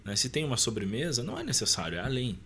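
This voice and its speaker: A man's voice: mid-range voice, wildly swooping pitch, rapid-fire, fairly bright tone, a little hiss or hum. A man with a Brazilian Portuguese accent speaking as a podcast guest, colloquial and emphatic, explaining with examples in a preacher-like way. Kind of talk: casual conversation with preaching tone.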